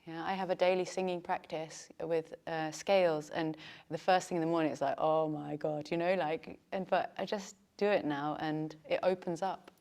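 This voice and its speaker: A woman's voice: sits low, flat delivery, normal speed, hardly any pauses, darkish tone. A woman teaching yoga teacher trainees, talking in a chatty way and laughing often. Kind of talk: teaching a class.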